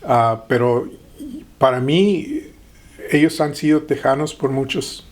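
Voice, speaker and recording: A middle-aged man from the Texas-Mexico border, a guest in an interview, speaking with hesitant pauses and fillers. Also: mid-range voice, animated. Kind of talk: interview conversation.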